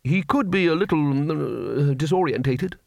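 A man's voice: on the high side, expressive, unhurried, gravelly, a little mellow, dry recording, clean studio sound. A man voicing a bluff, hearty elderly wizard head.

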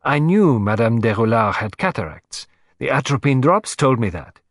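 A man's voice: mid-range voice, big pitch swings, moderate pace, dry recording. Guy putting on a fussy vain old detective, voice jumping way up and down, really dry sound. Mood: agitated. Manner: theatrical, fussy exclamations. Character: excitable vain elderly male detective.

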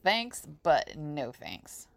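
A woman's voice: low-pitched, wildly swooping pitch, drawn-out words, no room sound, heavily acted. A woman playing a practical, serious, organized character in an audio drama.

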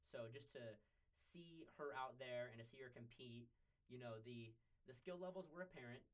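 A young man from California is a guest on a sports podcast, describing his reporting. His voice sits at a middle pitch for a man, and he talks easily, pausing to restart his sentences as he goes. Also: rough recording. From California, United States. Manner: relaxed, with frequent "uh" and restarts. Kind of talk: podcast interview chat.

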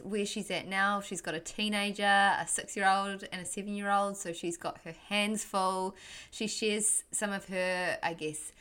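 A woman's voice: on the low side, a bit bright. A woman hosting a podcast, upbeat and chatty with frequent fillers. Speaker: podcast host. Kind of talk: casual podcast chat.

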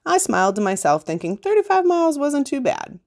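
A woman's voice: high voice, sing-song, fairly quick, a little mellow. A woman reading a scripted first-person account aloud as a podcast host.

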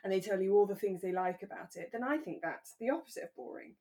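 A woman co-hosting a podcast in relaxed, casual conversation, thinking aloud as she talks. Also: mid-range voice, fast. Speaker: podcast co-host; actor. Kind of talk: relaxed casual chat about a radio drama.

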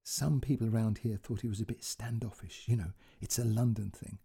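A man voicing a reserved, politely evasive woman in a story reading, with a hesitant, apologetic, soft-spoken manner. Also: on the low side, steady tone, a little fast, creaky, breathy edge, full character voice. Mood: confiding.

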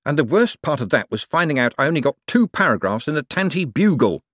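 A man voicing a harried, indignant male con artist in an audiobook, quick-tongued, protesting and sarcastic. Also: fairly high pitch, big pitch swings, a bit bright, no echo, clean studio sound, pitched above the performer's own voice. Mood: bitterly sarcastic. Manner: exasperated, protesting.

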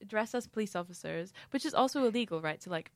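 A young woman from Scotland with a mellow Scottish accent, chatting casually and in a rambling, giggly way as a podcast co-host. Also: medium pitch, lively intonation, quick pace, dry recording. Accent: soft Scottish. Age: young adult. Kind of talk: casual chat.

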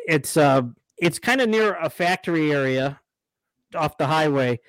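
A man's voice: a little high, lively intonation, a little slow, no room sound, low-quality recording. A man co-hosting a podcast, telling stories in a rambling casual way. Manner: rambling storyteller, lots of restarts and laughs. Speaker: podcast co-host. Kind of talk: casual chat.

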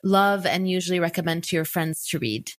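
A woman's voice: on the low side, fairly quick. A woman hosting a podcast in a conversational interview style. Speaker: podcast host.

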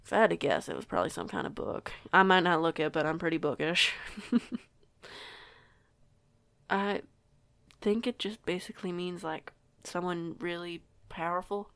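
A woman's voice: fairly low pitch, normal speed, creaky, pauses often, fairly bright tone, clear, resonant tone, no room sound. A woman playing a curious, stubborn teenage werewolf girl in an audio roleplay, with a chatty, rambling, self-correcting way of talking. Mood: candid, teasing. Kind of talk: audio roleplay acting, casual conversation.

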